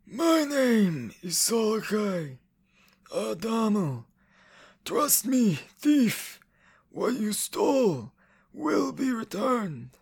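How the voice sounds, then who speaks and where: A cruel, smug inhuman official for a fantasy audio drama. The voice is pitched high and creaks, swooping through formal, condescending threats with measured menace. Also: male voice, sing-song, exaggerated voice. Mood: menacing.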